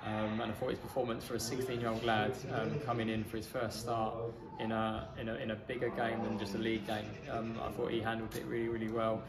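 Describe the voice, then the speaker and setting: A man, a football manager giving a measured, matter-of-fact post-match interview. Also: lowish voice, completely flat delivery. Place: outdoors in a small football ground stand. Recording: echoey stand with crowd noise.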